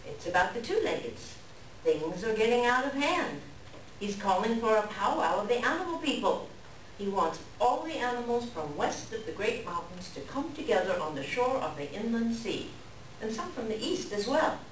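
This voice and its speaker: A woman playing an earnest, scolding raven messenger in a staged folktale reading, speaking in a theatrical, deliberate, pleading way. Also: a little high, sing-song. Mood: solemn, urgent. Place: live reading in a library room. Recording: echoey room, distant mic, background noise.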